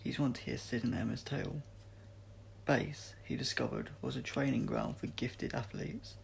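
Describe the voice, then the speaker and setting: A man narrates a children's-style adventure story in a very creaky, gravelly voice of middling pitch. He reads from a script and now and then trips over a word. There is faint noise on the recording. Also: normal speed. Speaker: audiobook reader. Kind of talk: scripted story narration read aloud, amateur.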